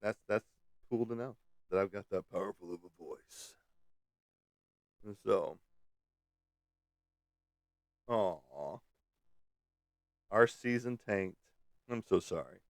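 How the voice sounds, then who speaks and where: A man on the lower side of the pitch range, with a clean, resonant voice, chats casually from his desk. He teases a viewer and keeps breaking into little laughs. The sound is dry, with almost no room echo. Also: takes it slowly, long pauses. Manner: teasing, chuckles often. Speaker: host. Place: at a desk indoors.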